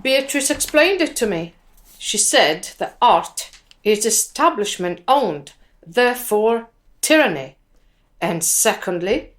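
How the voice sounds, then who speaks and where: An earnest woman with a fairly high voice, in a radio drama. She is a budding performance poet who speaks slowly and deliberately, weighting her words. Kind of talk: radio drama acting, with recited poetry.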